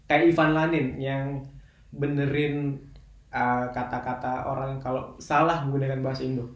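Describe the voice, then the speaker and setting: A man's voice: medium pitch, little pitch movement, moderate pace. A young man hosting a podcast in casual, joking, slangy banter. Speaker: podcast host. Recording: roomy echo.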